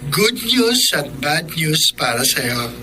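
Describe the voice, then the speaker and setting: A man's voice: a little high, big pitch swings, slow pace, sharp tone, heavily acted. A male radio drama actor playing a young man who is a go-between for his friend. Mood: casual. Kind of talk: Tagalog radio drama acting. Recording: faint background noise.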